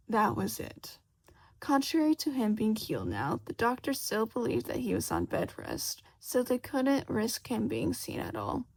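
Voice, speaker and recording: A woman's voice: on the high side, gravelly, a little mellow, husky, rough recording. A woman reading a fan fiction story aloud as scripted narration. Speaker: fan fiction audiobook reader.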